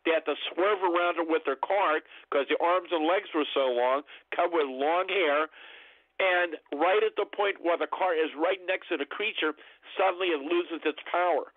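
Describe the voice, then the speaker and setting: A man's voice: fairly high pitch, normal speed, dry recording. Older man on a narrow phone line. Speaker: guest, paranormal researcher. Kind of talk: anecdotal interview talk. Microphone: telephone call.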